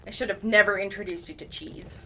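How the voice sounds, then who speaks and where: An actress playing a wry, excitable young woman adventurer in an audio drama. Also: medium pitch, fairly quick, slight background noise, lightly acted, low-quality recording.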